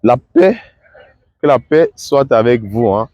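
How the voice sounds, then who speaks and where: A man's voice: mid-range voice, animated, takes it slowly, dark tone, no room sound, muffled recording. A man with the flu, talking casually and earnestly to his followers, repeating words and dropping filler words as he gives advice. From Africa. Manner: repetitive, stammering, fillers like "hein"; earnest, advising. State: has the flu. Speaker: vlogger.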